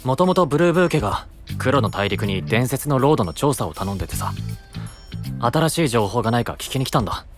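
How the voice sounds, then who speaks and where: An actor playing a frank, good-natured young knight, a younger brother who talks casually and straightforwardly, in an anime audio drama. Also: male voice, medium pitch, big pitch swings, fast, music in the background. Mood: matter-of-fact.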